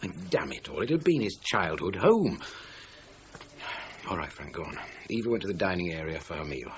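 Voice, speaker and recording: An actor playing a middle-aged British police inspector in a radio crime drama, asking thorough, polite questions with thoughtful grunts. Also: male voice, deep voice, sing-song, moderate pace. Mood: musing, probing. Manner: questioning, hesitant musing with grunts. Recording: dull lo-fi radio recording, faint noise.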